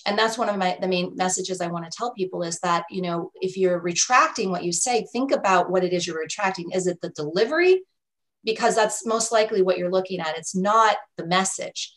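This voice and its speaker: A woman's voice: fairly low pitch, a little fast, fairly bright tone. A woman life coach who used to teach languages, explaining her ideas earnestly in an interview, often adding "you know" and "right?". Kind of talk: interview answers.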